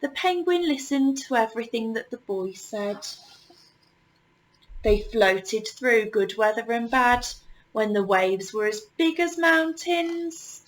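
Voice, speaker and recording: Slow-paced woman reading a children's story. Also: fairly high pitch, rough recording. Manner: measured, with deliberate pauses. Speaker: storyteller reading a picture book. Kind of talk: children's story read-aloud.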